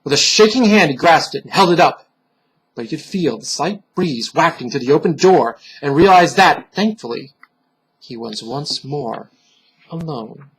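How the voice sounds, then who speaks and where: A man giving a dramatic, solemn reading of a dark fantasy story, theatrical and deliberate, with pauses for effect and a husky voice. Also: fairly high pitch, lively intonation, slight background noise, poor audio. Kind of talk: dramatic scripted narration.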